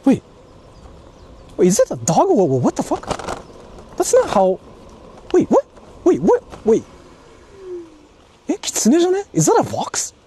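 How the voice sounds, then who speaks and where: A man's voice: extremely high pitch, wildly swooping pitch, a little slow, pauses often, dark tone. A man chatting casually on a live stream outdoors, thinking aloud and repeating himself with exclamations and casual swearing. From Japan. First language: Japanese. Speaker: livestreamer host exploring on foot. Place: outdoors, walking in the countryside. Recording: noisy outdoor live-stream, ambient vehicle sounds.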